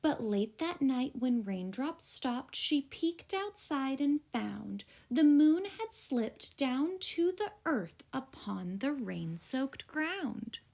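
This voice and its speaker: Casting note: female teacher type, high voice, unhurried pace, expressive picture-book read-aloud. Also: creaky.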